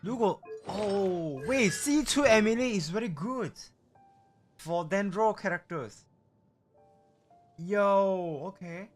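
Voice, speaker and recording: Casual streamer muttering over loud background music. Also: mid-range voice, slow, long pauses. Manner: casual, profane, reacting in short phrases. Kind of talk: casual gameplay commentary.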